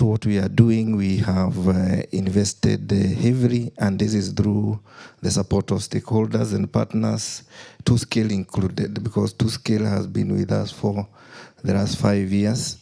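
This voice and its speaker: A man's voice: lowish voice, creaky, warm tone, slightly rough. A man with a Kenyan accent speaking as a company CEO on a conference panel, in formal remarks broken by frequent "uh" fillers. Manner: halting, heavy with "uh" fillers.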